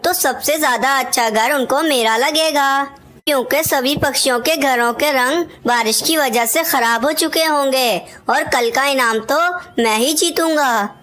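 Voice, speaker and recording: A woman voicing a boastful, scheming male crow in an animated children's story. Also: sits high, a little slow, voice pushed up. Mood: smug, scheming.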